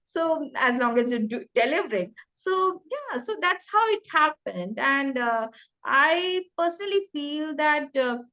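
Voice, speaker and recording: A woman's voice: sits high, animated, a little slow, bright, forceful tone, muffled recording. A middle-aged woman with an Indian accent speaks conversationally as an interview guest, talkative and emphatic, often repeating phrases. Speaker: interview guest, career changer.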